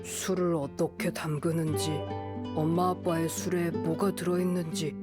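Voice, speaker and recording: A woman voicing a reserved, earnest wild boy with halting, simple speech in an anime game. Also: on the low side, little pitch movement, close to an everyday voice. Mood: earnest, determined. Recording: music faintly behind the voice.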